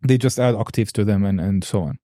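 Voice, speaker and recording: A man's voice: lowish voice, fast talker, warm tone, no echo, clean studio sound. A man hosting a podcast in a casual, informal and rambling interview chat. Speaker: podcast host.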